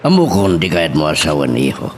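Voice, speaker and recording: A man's voice: extremely low pitch, wildly swooping pitch, quick pace, far from the mic, a little hiss or hum, heavily acted. A man acting a fatherly middle-aged character who counsels his grown children, with an Ilocano Filipino accent. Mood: earnest advising. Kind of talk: Ilocano audio drama acting.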